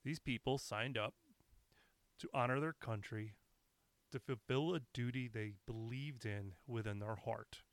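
A man hosting a solo podcast, his voice raspy as he gets over being sick, talking bluntly and with a lot of swearing. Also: mid-range voice, unhurried, dry recording. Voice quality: raspy from recent illness. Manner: blunt, profane, impassioned. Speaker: podcast host.

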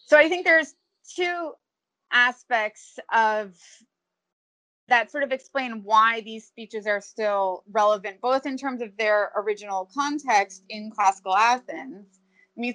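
A woman translator answering interview questions in a measured, academic way, with frequent ums and restarts. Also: mid-range voice, a bit bright, poor audio. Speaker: translator of ancient Greek.

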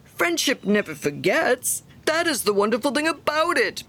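A woman's voice: high voice, very animated, moderate pace, a bit bright, pitched above the performer's own voice, exaggerated voice. A woman doing a smug, preachy miller. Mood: self-satisfied. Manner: smug, preachy, self-praising. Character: pompous, self-righteous male miller.

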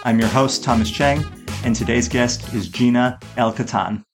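A man's voice: medium pitch, moderate pace, vocal fry, music in the background. A man hosting an interview podcast, introducing his guest and asking questions. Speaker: podcast host.

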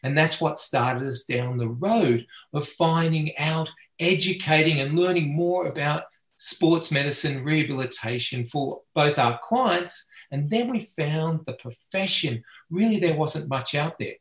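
A man's voice: fairly high pitch, a little slow, fairly bright tone. A middle-aged man with an Australian accent, a veterinarian answering interview questions earnestly and passionately, sometimes restarting his sentences. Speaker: veterinarian guest. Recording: echoey room.

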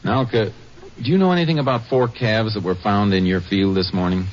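An actor playing a steady, fatherly Texas Ranger with an American accent in a 1950s radio drama, plainspoken and calmly authoritative. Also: fairly low pitch, fairly quick, lightly acted. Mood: gentle, probing. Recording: muffled, noisy old radio recording.

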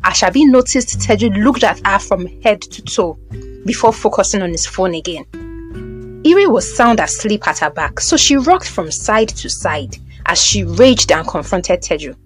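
A woman narrates an audio drama in a Nigerian accent. Her medium-pitched voice is very expressive, moving up and down through the scripted story, with music underneath. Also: moderate pace.

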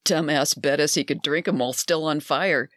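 A narrator voicing a loud, crude, pushy middle-aged barfly in a dry recording. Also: medium pitch, normal speed, no room sound, lightly acted. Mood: leering.

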